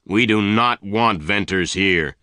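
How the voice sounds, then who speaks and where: A man's voice: lowish voice, takes it slowly, bright, forceful tone, dry recording. A man voicing an angry, domineering gang leader who makes harsh threats.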